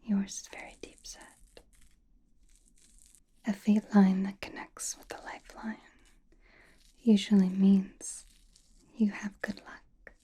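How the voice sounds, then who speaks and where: A woman playing a mysterious, attentive sorceress fortune-teller in a whispered ASMR roleplay, speaking softly and deliberately close to the microphone. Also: medium pitch, flat delivery. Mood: calm, mystical. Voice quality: breathy, mostly unvoiced whisper. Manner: slow, deliberate, soothing with soft pauses. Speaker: ASMR performer.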